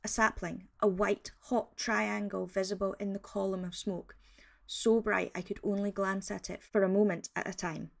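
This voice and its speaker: A woman playing an awed field naturalist, giving a measured, earnest scripted report in the first person. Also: medium pitch, level tone, creaky, close to an everyday voice. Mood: tense wonder.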